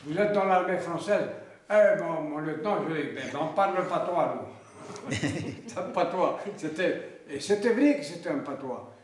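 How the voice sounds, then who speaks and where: Older man in his 70s, from Oran, singer reminiscing, halting and repetitive, picked up from afar in an echoey room. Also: a little high. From Oran, Algeria. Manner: halting, repeats words, slow reflective delivery. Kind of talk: recalling memories in an interview. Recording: echoey room, distant mic, faint noise.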